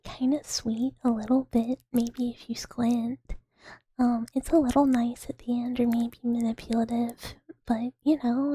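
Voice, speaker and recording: Breathy whispering woman, slow and giggly. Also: on the high side, warm tone, no echo, rough recording. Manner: giggly, self-mocking, hesitant with many ums. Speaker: livestream ASMR host. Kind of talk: whispered ASMR chat.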